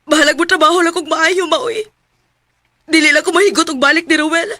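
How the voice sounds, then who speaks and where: An actress with a Cebuano accent playing a battered but quietly determined young wife in a radio drama. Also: female voice, very high voice, fairly even intonation, brisk, no echo, studio quality. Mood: resolute. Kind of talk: Filipino radio drama acting. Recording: radio drama with faint music behind.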